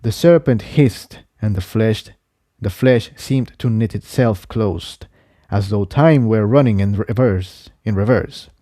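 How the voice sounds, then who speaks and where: A man reading an adventure story aloud as narrator, in a measured, dramatic storytelling manner. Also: fairly low pitch, dark tone, no room sound, studio quality. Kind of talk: scripted narration, audiobook-style story reading.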